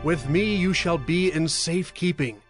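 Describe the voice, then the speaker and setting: A man's voice: fairly high pitch, normal speed, faint music behind, lightly acted. A man playing a devout, earnest warrior leader in a dramatized Bible, with solemn, projected delivery. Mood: remorseful, protective. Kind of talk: dramatized Bible audio acting.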